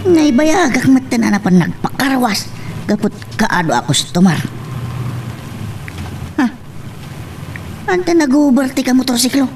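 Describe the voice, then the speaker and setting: An actress plays a spiteful, gloating young woman in an Ilocano love drama. She speaks slowly in a high voice with an Ilocano accent, a little away from the mic. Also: very animated, darkish tone, distant mic, slight background noise, exaggerated voice, rough recording. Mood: taunting. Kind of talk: Ilocano audio drama acting.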